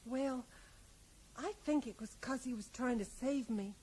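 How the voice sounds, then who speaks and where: An actress playing a plain-spoken, resigned small-town American widow in a radio drama, rambling and often ending on a little question. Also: female voice, on the high side, measured pace, dark tone, slightly rough, rough recording. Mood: matter-of-fact, reflective. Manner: rambling, ends remarks with tag questions. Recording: faint noise, 1980s radio play.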